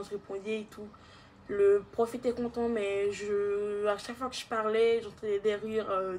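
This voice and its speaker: Young woman, guadeloupean accent, telling her story, flat steady voice, bit of faint hiss behind. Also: mid-range voice. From Haiti. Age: young adult. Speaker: person giving a testimony. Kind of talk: personal testimony, casual speech.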